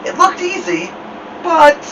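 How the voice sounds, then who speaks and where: Animated man reading a storybook, slow and swooping. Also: extremely high pitch. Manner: theatrical, with drawn-out words and dramatic pauses. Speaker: storytime host reading a children's book. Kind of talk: animated read-aloud of a children's picture book. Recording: distant mic, dull sound, noisy background hum.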